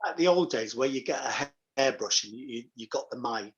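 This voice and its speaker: A man's voice: mid-range voice, lively intonation, a little fast, dull audio. A middle-aged man giving reflective, anecdotal answers as a pantomime performer in a casual interview.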